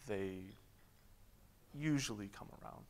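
A man in his thirties answering audience questions at a tech conference, relaxed, with lots of "um"s and restarts. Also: on the low side, slow. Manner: relaxed, many fillers and restarts. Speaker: conference keynote speaker. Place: large conference hall stage. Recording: long hall reverb.

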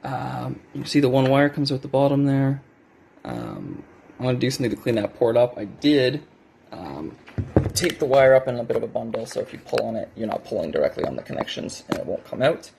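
A man's voice: medium pitch, lively intonation, a little fast, gravelly, noisy background. A man giving a casual, unscripted show-and-tell as a hobbyist, hesitant and correcting himself, recorded on a handheld cell phone indoors at a desk. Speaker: hobbyist video maker.

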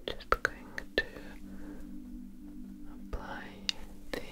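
A young woman whispering softly and breathily in an ASMR makeup roleplay, close to the microphone, in gentle, unhurried instructions. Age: young adult. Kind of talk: ASMR personal attention roleplay. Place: small indoor room, close up. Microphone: close sensitive microphone.

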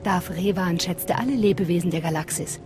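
Devout, fanatical female Sith assassin for a game, played by a woman, lowish voice, reverent and measured, music underneath. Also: darkish tone, close to an everyday voice. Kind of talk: dramatic video game dialogue. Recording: prominent music behind voice.

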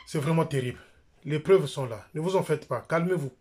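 A man's voice: on the high side, fairly quick, darkish tone, husky. Insistent middle-aged Congolese man. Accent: Congolese French. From Republic of the Congo. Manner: insistent, repeats phrases, jabs with his finger. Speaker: church member and critic. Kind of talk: direct-to-camera denunciation. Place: small indoor room. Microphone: phone camera close up.

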